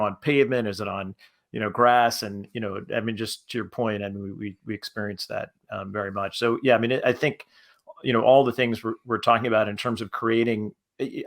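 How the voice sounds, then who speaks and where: A man's voice: medium pitch, a bit bright. A man talking casually on a panel as a podcast creator, hesitant and often repeating his words.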